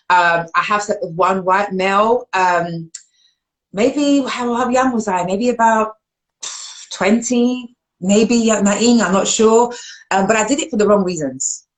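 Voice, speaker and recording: London woman host, casual and animated, quick to laugh. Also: poor audio. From London, England. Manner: animated, laughs often, jumps up in pitch when excited. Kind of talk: casual live-stream chat.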